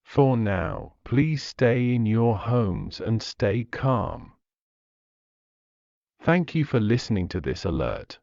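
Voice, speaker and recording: A man reading a scripted emergency alert in a flat, formal announcer manner. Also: lowish voice, creaky, no room sound, lo-fi sound.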